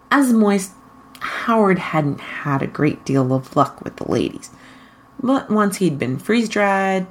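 A woman reading fan fiction aloud as an expressive scripted narration. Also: deep voice.

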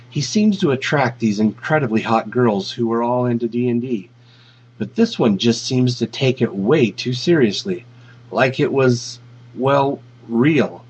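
Husky man reading a story, dull audio. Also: mid-range voice. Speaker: fan fiction reader. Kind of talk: scripted story narration.

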